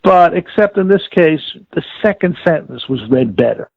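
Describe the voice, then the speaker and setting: Gravelly older man on a tinny line. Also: on the high side, expressive. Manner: deliberate, halting, formal wording with self-corrections. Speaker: interviewee, former audio producer-director. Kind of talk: reminiscing interview, explaining a process. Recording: tinny phone-like line with room echo.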